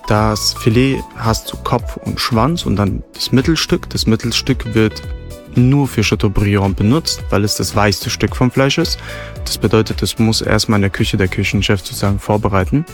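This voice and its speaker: A man with a low voice speaks easily and at length as a restaurant host in a relaxed interview. He describes things fluently and fills gaps with small filler phrases. Faint music runs underneath. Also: normal speed. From Germany. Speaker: restaurant host, son of the owner. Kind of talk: casual radio interview. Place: restaurant terrace.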